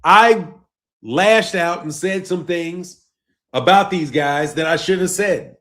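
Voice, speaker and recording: A fairly high-pitched man. He leans hard on "bro" and "man," and his voice sinks low and quiet as each phrase trails off. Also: slow. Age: 50s. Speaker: boxing channel host. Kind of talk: casual live-stream rant reading chat.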